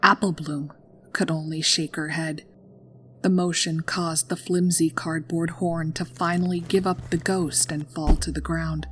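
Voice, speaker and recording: A woman narrating a scripted horror story in a dramatic, deliberate storytelling manner. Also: low voice, flat delivery, a bit bright, slight background noise. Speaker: fan fiction narrator.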